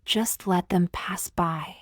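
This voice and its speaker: Slow, low-voiced woman guiding meditation. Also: no room sound, clean studio sound. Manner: soothing, gentle, measured with long pauses. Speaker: meditation guide. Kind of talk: guided meditation, scripted.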